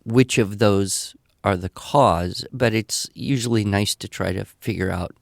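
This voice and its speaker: A man's voice: on the low side, a little slow, creaky, dry recording, clean studio sound. An older man with an American accent giving conversational advice in a reassuring, explanatory manner. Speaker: podcast expert answering listener questions.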